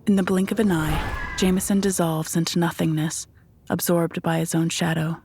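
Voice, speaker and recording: A woman's voice: on the low side, little pitch movement, moderate pace, noisy background. A woman reading scripted, dramatic narration for a horror audio drama.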